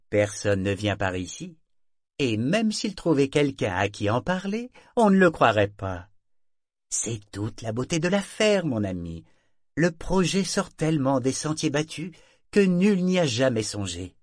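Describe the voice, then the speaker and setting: A man's voice: mid-range voice, big pitch swings, unhurried, dry recording, clean studio sound. A man voicing a scheming male trader who talks in a confident, persuasive tone. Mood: smug. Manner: assured, persuasive.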